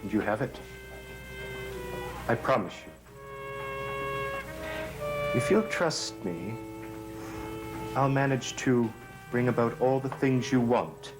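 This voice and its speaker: An actor playing a manipulative, wounded grown son in a gothic soap opera, melodramatic and persuasive. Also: male voice, mid-range voice, animated, slow, long pauses, poor audio. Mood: soothing, dreamy. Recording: dramatic brass music behind the voice.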